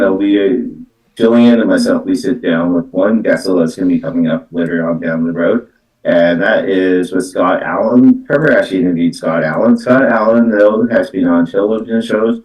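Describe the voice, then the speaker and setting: A man hosting live card readings as a psychic medium, talking off the cuff in a halting, repetitive way with many filler words like "though" and "actually". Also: deep voice, a little mellow. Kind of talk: unscripted live-stream talk. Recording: roomy echo, distant from the mic.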